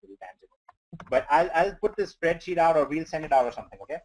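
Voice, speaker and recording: Man from india, non-native english speaker, webinar trainer, slightly high voice, earnest coaching. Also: animated, fast, a little hiss or hum, poor audio. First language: not English. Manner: earnest, coaching, breaks numbers down step by step. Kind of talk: motivational webinar talk.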